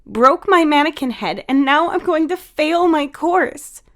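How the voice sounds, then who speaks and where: Casting: bossy teen sister for audio story, high, dramatic, snappy played by a female narrator. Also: lively intonation, measured pace. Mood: exasperated. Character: Angela, exasperated bossy teenage sister, hot-tempered and sarcastic.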